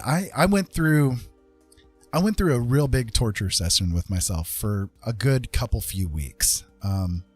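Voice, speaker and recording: A man hosting a livestream, chatting casually and personably to viewers in a rambling way. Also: medium pitch. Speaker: livestream host.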